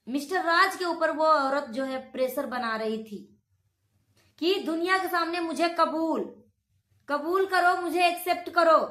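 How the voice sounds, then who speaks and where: High voiced lady doing judgy gossip reaction talk, repeats stuff to hammer it in, echoey room. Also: a bit bright. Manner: accusatory, repeats phrases for emphasis. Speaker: online reaction commentator. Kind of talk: opinionated gossip commentary. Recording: roomy, echoing sound.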